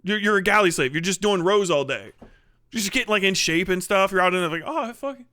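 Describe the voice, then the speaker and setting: A man doing a casual, profane comedic rant on a livestream, animated and slipping into mocking impressions. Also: high-pitched, fairly quick, clear, resonant tone, dry recording, clean studio sound. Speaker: streamer.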